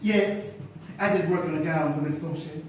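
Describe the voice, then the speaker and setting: A man's voice: on the high side, moderate pace, a little mellow, far from the mic, slight background noise, lightly acted. A man playing a fussy, catty costume designer in a period stage mystery, haughty and affected, repeating phrases for emphasis. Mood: fussy, disdainful. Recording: echoey live theatre recording from afar.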